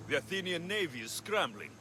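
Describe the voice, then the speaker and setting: A man's voice: on the high side, expressive, brisk, pushed and bright, a little hiss or hum. A man voicing a confident young Greek mercenary hero in a game cutscene, talking in a cool, dry, self-assured way. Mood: steady. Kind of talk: video game cutscene acting. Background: orchestral score.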